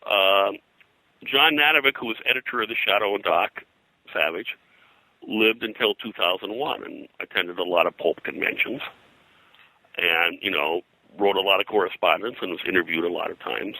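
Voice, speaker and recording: An older man with a low voice recalls his career in a conversational interview. He rambles and halts, doubling back on words. The sound is sharp and forceful, captured very dry and close. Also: no echo, low-quality recording. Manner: rambling, halting, repeats words. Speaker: interview guest, pop culture historian and pulp publisher.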